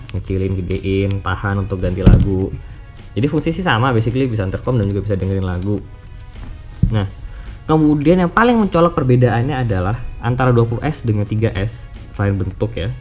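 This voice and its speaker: A man's voice: lowish voice, darkish tone. A man with a Jakarta Indonesian accent giving a casual product review as a vlogger, informally mixing English words into slangy speech. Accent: Indonesian, Jakarta colloquial. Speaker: motovlogger presenting a product review.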